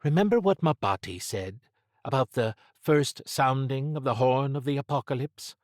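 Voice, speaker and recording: A man's voice: mid-range voice, normal speed, dry recording, voice pushed up, lightly acted. A male narrator plays a wary woman secret agent from a noir audiobook. The voice is lifted above his natural register, clipped and wry in its understatement. The recording is dry and close. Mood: uneasy, confiding. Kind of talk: audiobook character dialogue.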